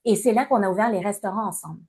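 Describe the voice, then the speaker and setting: A woman in her fifties from Montreal with a Québécois accent, answering interview questions in a measured way with many hesitations. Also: mid-range voice, a little mellow, slightly rough. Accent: Québécois French. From Montreal, Canada. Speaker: guest, entrepreneur and coach.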